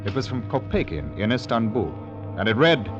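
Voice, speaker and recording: A man's voice: mid-range voice, very animated, normal speed. A man narrating in the first person as the lead in an old radio adventure drama, tense and storytelling. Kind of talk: 1940s radio acting. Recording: dull old radio broadcast with music.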